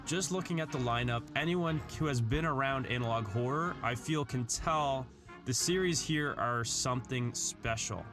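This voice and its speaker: A man's voice: medium pitch, normal speed. A man reading an earnest, articulate scripted narration in the style of a video essay. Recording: background music under the voice. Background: music.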